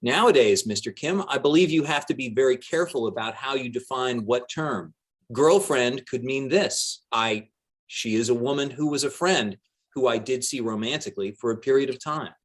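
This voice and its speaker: Man voicing male politician in deposition reading: dodging, pedantic, deliberate, guarded. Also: mid-range voice, pitched above the performer's own voice, close to an everyday voice. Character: evasive, hair-splitting male politician under questioning. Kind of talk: dramatic reading of a deposition transcript.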